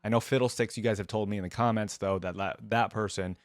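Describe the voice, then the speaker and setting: A man's voice: lowish voice, steady tone, fast, no echo. A man hosting a reaction video, talking casually to viewers and loosely thinking aloud in a conversational way. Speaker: host of reaction video channel.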